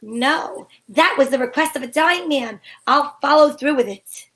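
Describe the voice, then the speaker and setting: A woman's voice: high-pitched, unhurried, noisy background. A woman playing a breezy, sensible, take-charge female sleuth in an old-time radio mystery, with a wry manner. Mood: wry, matter-of-fact. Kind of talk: old-time radio mystery acting with first-person narration.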